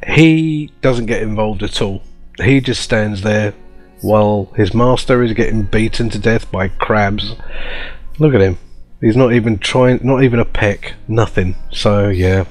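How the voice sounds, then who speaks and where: Low-pitched man, chatty game commentary. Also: unhurried, faint music behind. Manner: chatty, informal. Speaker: video host. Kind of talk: casual game mod showcase commentary.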